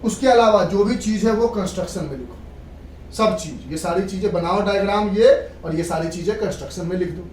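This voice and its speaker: A woman's voice: medium pitch, fairly quick, darkish tone. Echoey, distant female physics teacher. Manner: instructive, repeats and checks understanding; mixes in English terms. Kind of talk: classroom lecture. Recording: echoey room, distant mic, background noise.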